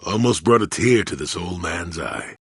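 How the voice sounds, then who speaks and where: A crotchety old male hermit from a sci-fi video game, low and gravelly. He grumbles in a folksy, sardonic way, his pitch rising and dropping freely as he needles whoever is listening. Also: animated, normal speed, no echo, clean studio sound, full character voice. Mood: sly, amused. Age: older. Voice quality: gravelly, husky. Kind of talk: video game character acting.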